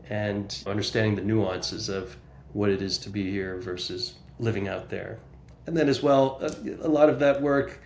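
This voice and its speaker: A man's voice: lowish voice, reverberant. An American man, a guest on a podcast, introducing himself and his work conversationally. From United States, New Jersey. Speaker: podcast guest, real estate broker.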